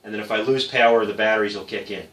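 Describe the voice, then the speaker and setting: A man's voice: lowish voice, steady tone, fast talker, fairly bright tone, rough recording. A man giving a casual, unscripted hobbyist demonstration in a methodical, matter-of-fact, explanatory way. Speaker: hobbyist presenter showing a homemade radio power box.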